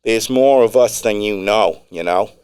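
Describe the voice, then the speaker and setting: A man's voice: fairly low pitch, measured pace, darkish tone, smooth, dry recording, close to an everyday voice. A man voicing a taunting stranger with a Long Island accent.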